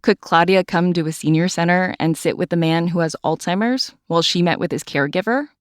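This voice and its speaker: A woman hosting a podcast, telling the story in scripted narration with an expressive delivery. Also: lowish voice, brisk, no echo, clean studio sound. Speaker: podcast host.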